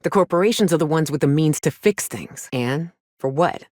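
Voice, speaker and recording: A woman's voice: low-pitched, animated, moderate pace, darkish tone, no echo, clean studio sound. A woman playing a weary, cynical but caring frontier woman in a video game, blunt and plainspoken with folksy, sweary talk. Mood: weary, doubtful. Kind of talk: video game character dialogue.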